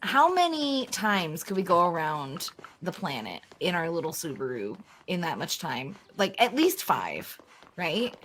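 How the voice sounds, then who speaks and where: Lively, wry woman with swooping intonation. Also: on the low side, unhurried, a little hiss or hum. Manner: playful, wry, enthusiastic. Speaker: co-commentator on a speedrun stream. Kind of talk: casual playful banter.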